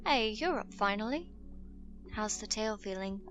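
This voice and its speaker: A practical, caring female canine adventurer, acted by a woman in a fantasy audio story. Her voice sits at a middle pitch and sounds inquisitive, with clear music behind it. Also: expressive, a little slow, a bit bright. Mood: relieved.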